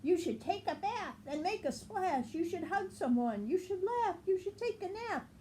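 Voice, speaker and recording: A curious, friendly storybook creature, voiced by a woman. It speaks in a high, eager sing-song with lively swoops, cheerful throughout, over some noise. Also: moderate pace.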